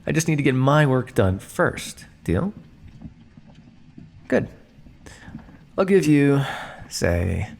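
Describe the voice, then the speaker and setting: A man's voice: mid-range voice, vocal fry, heavily acted. A man playing a smug, teasing vampire scientist captor in an ASMR roleplay, speaking with a theatrical, drawling, mock-polite and sardonic manner. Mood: condescending, firm. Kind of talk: ASMR roleplay monologue addressed to listener.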